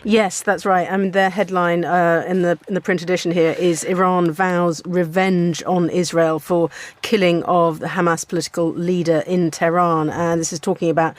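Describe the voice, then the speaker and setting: Calm woman journalist in a dry studio. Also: fairly low pitch, moderate pace, no room sound. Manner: calm, even reading and commenting. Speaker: political journalist, studio guest. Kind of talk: newspaper review on radio. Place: radio studio.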